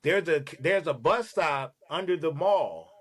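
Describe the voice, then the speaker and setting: A man from Los Angeles, a podcast guest, telling a casual, streetwise story in an animated, profane way. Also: on the high side, unhurried, husky.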